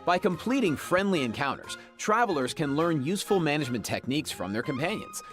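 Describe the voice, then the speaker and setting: A man co-presenting a game preview program, mixing lively scripted explanation with friendly banter. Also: fairly high pitch, brisk, music in the background.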